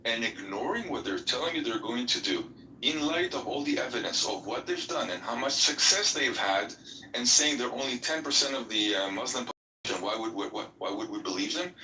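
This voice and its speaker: A man's voice: medium pitch, animated, moderate pace, bright, forceful tone. A man arguing assertively in a heated debate, with frequent restarts, recorded from a distance in an echoey space. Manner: assertive, argumentative, with many restarts. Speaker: debate participant. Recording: echoey room, distant from mic, faint noise.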